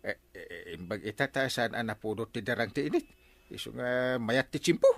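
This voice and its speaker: A man with an Ilocano accent playing an excitable, scheming village captain in a Filipino comedy radio drama. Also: medium pitch, normal speed, gravelly, no echo. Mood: scheming, eager. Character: excitable scheming male village captain chasing buried riches.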